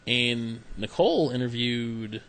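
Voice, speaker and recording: A man's voice: medium pitch, slow, a bit bright. A man hosting a podcast interview in an easygoing way, laughing often. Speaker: podcast host.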